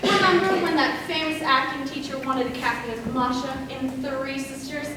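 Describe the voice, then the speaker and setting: A woman's voice: high voice, steady tone, moderate pace, lightly acted. A woman acting in a stage play as a vain, self-dramatizing movie star in her forties, with a grand theatrical manner. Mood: defensive, self-pitying. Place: stage in a large hall, far from microphone. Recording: distant, echoey, noisy stage recording.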